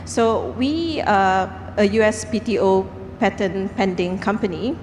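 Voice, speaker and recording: A woman from Singapore speaks earnestly on a conference panel, often pausing on fillers and restarting her sentences. Her voice rings through a large hall, with a long reverb tail and faint noise behind it. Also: medium pitch, expressive, darkish tone. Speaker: panel speaker, company founder. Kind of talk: panel discussion.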